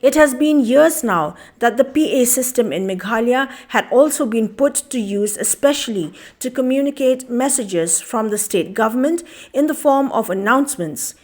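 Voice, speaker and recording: High-voiced Northeast Indian woman reading news. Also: animated, moderate pace, few pauses, darkish tone. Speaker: news narrator. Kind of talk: scripted news voice-over.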